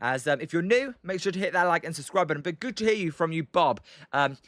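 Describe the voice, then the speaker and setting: A man's voice: on the high side, expressive, fast, a bit bright, no echo, clean studio sound. A young British man hosting a live stream, giving casual game commentary between chat replies, rambling with lots of ums and uhs. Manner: rambling, hesitant, heavy on um and uh.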